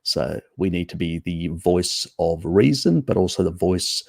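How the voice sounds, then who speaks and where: Low gravelly Australian man, croaky. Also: animated, measured pace, no echo, studio quality. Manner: rambling, opinionated, greets viewers by name. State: slightly croaky voice. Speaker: live stream host. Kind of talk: casual live-stream Q&A, reading chat.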